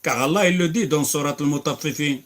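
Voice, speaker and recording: A man's voice: on the high side, brisk. A man preaching and answering questions, emphatic, breaking into recited Arabic verses. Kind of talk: religious Q&A.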